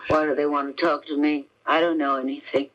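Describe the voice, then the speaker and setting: A woman's voice: sits low, flat delivery, fairly quick, fairly bright tone, lo-fi sound. An actress playing a frail, worried mother in a radio mystery drama. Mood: weary, worried. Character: frail, grieving mother of a kidnapped boy.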